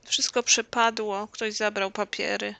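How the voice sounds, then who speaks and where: A woman's voice: medium pitch, little pitch movement, normal speed, creaky, bright, forceful tone. A woman giving casual gameplay commentary, retelling and translating the story into a close microphone. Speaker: gameplay streamer.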